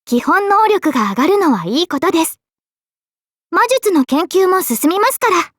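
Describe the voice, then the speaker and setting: A young heroine mage from a Japanese fantasy game. Her voice is high, clear and youthful, with an earnest, courteous manner. The recording is close and dry, free of room sound. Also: very animated, normal speed, no room sound. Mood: polite, modest. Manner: polite, earnest. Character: young girl mage, tomboyish, modest, earnest, later a solemn king. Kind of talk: Japanese game character voice lines.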